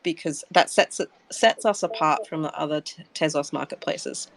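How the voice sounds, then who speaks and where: Woman with an easy mid-low voice, hesitating. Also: moderate pace, creaky, no echo, rough recording. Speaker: interview guest, community member. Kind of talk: casual interview chat. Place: live online audio group chat.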